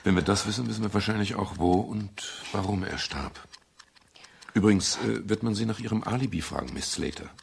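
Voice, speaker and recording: An actor playing a world-weary private detective in a 1970s German radio crime drama, dry, sardonic and understated. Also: male voice, lowish voice, fairly quick, vocal fry. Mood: matter-of-fact. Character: world-weary male private detective, dryly wry, narrating his case. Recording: faint hiss of 1970s radio production.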